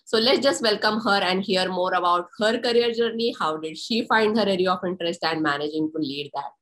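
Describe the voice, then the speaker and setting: Woman host reading a guest intro, kinda low voice, measured phrasing. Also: a bit bright. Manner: reads intro in measured phrases, slows on lists. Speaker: podcast host. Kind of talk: scripted introduction, then interview questions.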